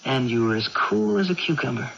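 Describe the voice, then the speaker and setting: An actor playing an earnest, patient young man, gentle and hesitant, in a 1980s radio drama. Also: mid-range voice, very animated, fairly quick, gravelly, heavily acted. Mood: earnest coaxing. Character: earnest, patient young brother of a troubled woman. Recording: dull, noisy old radio broadcast.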